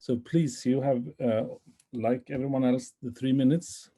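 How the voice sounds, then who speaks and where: A man's voice: mid-range voice, steady tone, a little slow, dark tone. A man moderating a live online conference session over a video call, chatting politely and rambling a little as he introduces the speakers.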